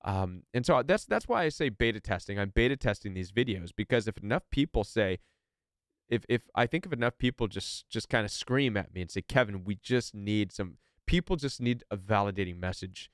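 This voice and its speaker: Man, live chat host, rambling, stammered restarts, lowish smooth voice, dry sound. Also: lively intonation, brisk, dry recording. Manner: reads viewer comments aloud. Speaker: podcast host.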